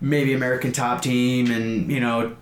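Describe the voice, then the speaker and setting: A man's voice: medium pitch, little pitch movement, normal speed. A man aged twenty in a casual interview chat, talking in a rambling, slangy, enthusiastic way as a fighter. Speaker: amateur MMA fighter being interviewed. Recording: echoey room with faint background noise.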